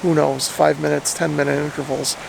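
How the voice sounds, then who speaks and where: A man's voice: a little high, flat delivery, a little fast, creaky, noisy background. A man narrating a casual vlog in an informal, explanatory way, thinking aloud as he goes. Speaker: vlogger, radio hobbyist.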